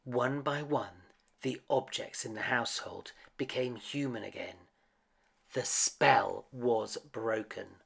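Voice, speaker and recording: Slow, gravelly male storyteller. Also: medium pitch, a bit bright. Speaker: storybook reader. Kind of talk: read-aloud children's story narration.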